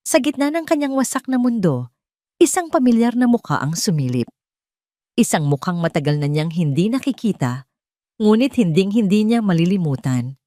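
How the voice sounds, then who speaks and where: A woman with a Filipino accent narrating a dramatic story in a solemn, emotive, deliberate way. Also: medium pitch, expressive, warm tone, no echo, studio quality.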